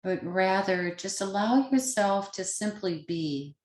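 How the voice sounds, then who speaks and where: A woman leading a guided meditation as a teacher, speaking gently in short, unhurried phrases with pauses between them. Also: mid-range voice. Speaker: yoga nidra meditation teacher. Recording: roomy, echoing sound.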